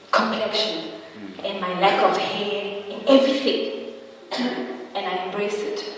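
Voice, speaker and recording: A woman's voice: mid-range voice, a little hiss or hum. A woman in her twenties giving a chatty, humorous motivational talk to an audience, often checking in with tag questions. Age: 20s. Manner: humorous, laughs at own jokes. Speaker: motivational speaker. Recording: echoey hall, voice from far off.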